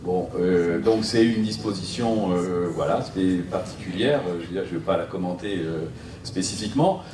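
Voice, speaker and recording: A man answering as a planning expert at a seminar, explaining off the cuff with a hesitant, halting delivery. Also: deep voice. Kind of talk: spontaneous public explanation. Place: seminar room, recorded from a distance. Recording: roomy, distant mic, faint noise.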